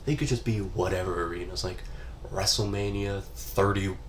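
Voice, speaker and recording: Stuffy-nosed young guy w a cold, real low voice, rambly offhand gaming talk, swears a bit, faint hiss behind. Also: steady tone, normal speed. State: congested from a cold. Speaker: gaming YouTuber. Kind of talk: casual solo gaming commentary.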